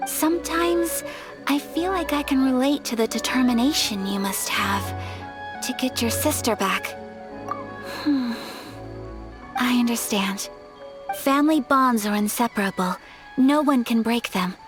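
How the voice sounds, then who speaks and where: A woman voicing a graceful young noblewoman, soft-spoken, polite and earnest. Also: fairly high pitch, unhurried, pauses often, over music, natural voice. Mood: tender.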